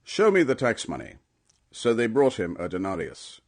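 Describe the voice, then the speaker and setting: Low-pitched man reading scripture with lively inflection. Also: normal speed. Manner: solemn, emphatic storytelling delivery. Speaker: audiobook narrator.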